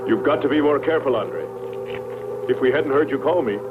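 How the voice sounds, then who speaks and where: A man's voice: mid-range voice, expressive, a little fast, natural voice. An actor, a man, playing a concerned, cautioning astronaut in a 1960s science fiction film dub. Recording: very noisy, tinny narrow-band old film soundtrack.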